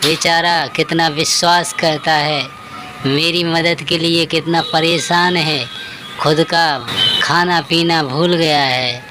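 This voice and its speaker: A man voicing an earnest character in a devotional cartoon tale, speaking deliberately and respectfully. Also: a little high, little pitch movement, over music. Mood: concerned, tender. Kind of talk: cartoon story dialogue.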